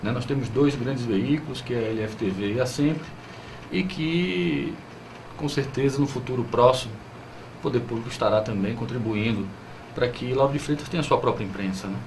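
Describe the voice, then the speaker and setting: A man's voice: mid-range voice, normal speed, a little mellow, rough recording. A man from Bahia with a Brazilian accent, answering as a political interview guest in hesitant phrases with frequent tag questions. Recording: clear background noise.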